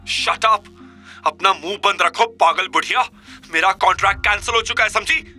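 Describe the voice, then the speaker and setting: A man's voice: high voice, moderate pace, bright, forceful tone, pitched above the performer's own voice, full character voice. A man acting a rude, hot-tempered, panicked young businessman, ranting angrily. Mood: furious. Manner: angry, ranting. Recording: music bed behind.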